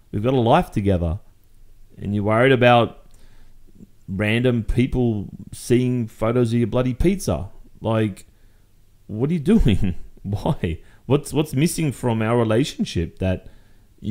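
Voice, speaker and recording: A man with an Australian accent acting a jealous, exasperated boyfriend confronting his partner in a conversational roleplay monologue, halting and insistent. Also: fairly low pitch, a little mellow.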